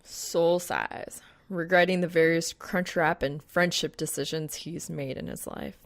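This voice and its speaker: A woman's voice: low voice, steady tone, a little slow, vocal fry, no room sound. A woman reading scripted fan fiction as an audiobook narrator.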